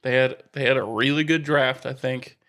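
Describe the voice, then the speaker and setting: A man's voice: medium pitch, steady tone, moderate pace, a bit bright. A man co-hosting a sports podcast, talking in a casual, conversational way with some joking banter.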